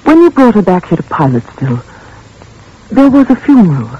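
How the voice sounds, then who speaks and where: An actress playing a gentle, anxious spinster in a 1940s radio drama, soft and soothing, fussing over the children. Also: female voice, medium pitch, big pitch swings, brisk, husky. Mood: coaxing. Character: gentle spinster woman, Miss Peabody, anxious. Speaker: narrator of diary entries. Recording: dull old radio recording with faint music.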